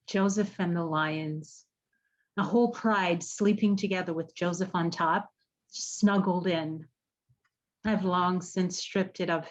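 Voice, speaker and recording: An actress playing an earnest, haunted woman in an audio drama, speaking haltingly and searching for her words. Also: lowish voice, muffled recording, lightly acted. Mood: reflective, uneasy. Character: earnest, haunted woman running an apocalypse support group. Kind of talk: naturalistic podcast radio drama acting.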